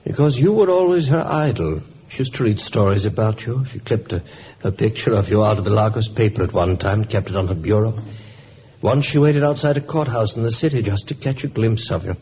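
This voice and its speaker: A man acting a middle-aged, bereaved father in a vintage radio drama, gentle, wistful and hesitant. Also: lowish voice, fairly quick, lightly acted. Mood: tender, sorrowful. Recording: muffled, hissy old radio recording.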